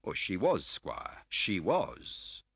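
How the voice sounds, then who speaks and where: A theatrical, high-pitched shifty fat dealer. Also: male voice, huge pitch swings, very slow, creaky, a bit bright, husky, no echo, heavily acted. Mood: sly, florid. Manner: theatrical, wheedling mock-grandiose speech. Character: fat, boisterous, florid, shifty male car dealer. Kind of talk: audiobook character voice.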